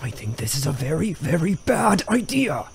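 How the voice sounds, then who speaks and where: Wildly animated, wary young adventurer. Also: male voice, a little high, normal speed, vocal fry, slight background noise. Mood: worried. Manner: sarcastic, reluctant, complaining. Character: wary, exasperated young male fantasy companion. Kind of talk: fantasy audio drama acting.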